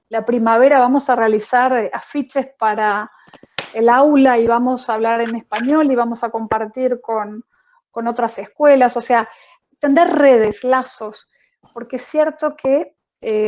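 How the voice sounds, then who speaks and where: A woman teacher answering a question on an online panel, thoughtful and pausing often with fillers. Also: on the high side, darkish tone, highs cut off. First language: not French. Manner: thoughtful, many hesitations and fillers.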